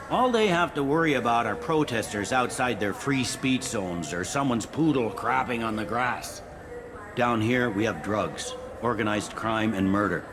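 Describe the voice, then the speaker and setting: A man's voice: mid-range voice, natural voice. A man playing a wry, pragmatic police captain on a space station in a video game. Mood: blunt. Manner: wry, blunt, matter-of-fact. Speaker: security captain character. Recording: clearly audible ambient noise.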